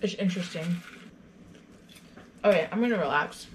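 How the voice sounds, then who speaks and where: A woman's voice: on the low side, moderate pace, very noisy. A young woman chatting casually to her camera in her kitchen, rambling and retelling conversations. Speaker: vlogger. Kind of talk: casual vlog chat. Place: small home kitchen. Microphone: camera on a counter in front of her.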